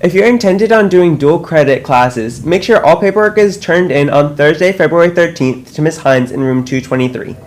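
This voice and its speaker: A man's voice: on the high side, moderate pace, few pauses. A teenage boy co-anchoring a school news show, reading announcements steadily with small slips. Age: teens.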